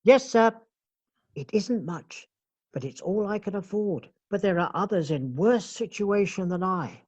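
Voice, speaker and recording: A man's voice: sits high, animated, unhurried, a little mellow. A man playing a meek, kindly male office clerk in a radio play, timid, hesitant and deferential.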